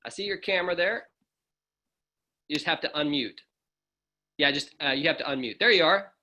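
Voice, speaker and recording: A man hosts a video call in a casual, upbeat way. His voice sits a little high, and his tone is bright and forceful. He greets each speaker warmly and teases them lightly. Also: moderate pace. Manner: friendly, joking, encouraging. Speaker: host of an online presentation.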